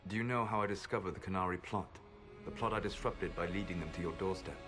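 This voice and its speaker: An actor playing a solemn, regretful schemer in a fantasy game, speaking formally and deliberately. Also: male voice, low-pitched, lightly acted. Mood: cool, proud. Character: solemn, regretful male schemer burdened by a grim purpose. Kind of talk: dramatic video game dialogue. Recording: game music behind the voice.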